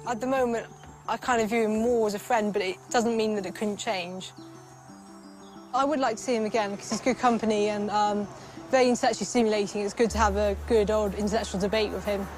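A woman's voice: mid-range voice, steady tone, brisk, darkish tone. A young woman talking outdoors by a river in a polite, easygoing way. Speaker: dating show participant. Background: music.

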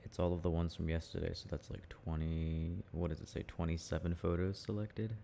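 A young man with a very low, creaky voice speaks in a casual, affectionate boyfriend-roleplay style. His tone is mellow and intimate, chatty and prone to chuckling. There is faint noise behind him. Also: flat delivery, fairly quick. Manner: soft affectionate chat with frequent chuckles. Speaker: boyfriend roleplay audio performer.

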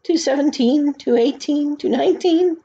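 Woman as high-voiced, earnest storybook boy. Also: normal speed, dark tone, muffled recording. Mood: desperate. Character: earnest, plaintive young boy. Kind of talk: storybook read-aloud.

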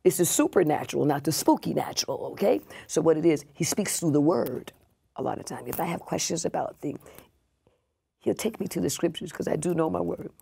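A woman in her sixties, a pastor talking as a guest in a casual interview, emphatic and repeating her points, often dropping soft and breathy. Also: low-pitched, expressive, fairly quick, slight background noise. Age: 60s.

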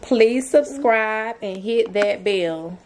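Slow-talking young woman vlogging casually. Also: a little high, animated, a little mellow. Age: young adult. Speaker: vlogger, mother. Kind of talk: casual vlog chat to camera and to her child. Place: small plain room indoors.

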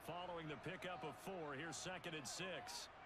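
Brisk male play-by-play game commentator. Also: on the high side. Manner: brisk, matter-of-fact play calling. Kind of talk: scripted sports video game commentary. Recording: loud game noise behind voice.

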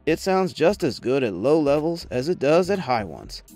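A man's voice: on the high side, sing-song, moderate pace, a little mellow. A man reading a scripted product review voice-over in a polished, promotional manner. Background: background music.

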